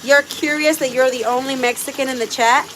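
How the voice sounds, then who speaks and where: A woman's voice: high-pitched, fairly even intonation, a little fast, a bit bright, slight background noise, rough recording. A woman from Puerto Rico chatting with her live viewers while doing chores outdoors with her animals. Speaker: livestream host.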